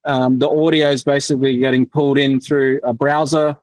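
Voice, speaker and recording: A man's voice: medium pitch, little pitch movement, brisk, darkish tone, dry recording, low-quality recording. A man presenting a developer workshop on a video call, explaining casually with many fillers and restarts.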